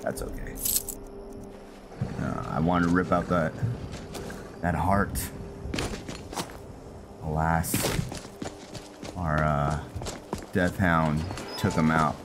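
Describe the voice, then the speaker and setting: Low, unhurried man over loud music. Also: level tone. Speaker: gamer hosting a roleplay playthrough. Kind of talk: casual game commentary, talking to himself. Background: loud game music.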